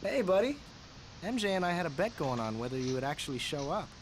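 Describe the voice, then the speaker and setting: A man's voice: fairly high pitch, sing-song, fast, crowd noise. A man voicing a bitter, vengeful young heir in a video game. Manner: bitter, intense.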